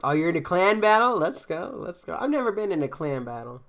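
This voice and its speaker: A man's voice: high voice, a little fast. Laid-back rambling male streamer. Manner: relaxed, rambling, lots of fillers and restarts. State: congested from a cold. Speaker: game streamer. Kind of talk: casual live-stream chat.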